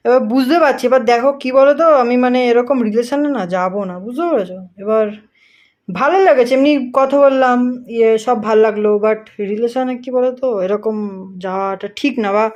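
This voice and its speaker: A man's voice: very high voice, brisk, no echo. A man with an Indian Bengali accent chatting casually on a prank call, teasing and often repeating himself. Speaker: prank caller, video host. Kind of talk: casual phone chat. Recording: muffled, faintly noisy call recording.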